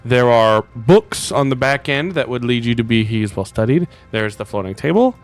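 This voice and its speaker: A man acting as game master, narrating and speaking in character in halting phrases with pauses. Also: mid-range voice, lively intonation, faint music behind.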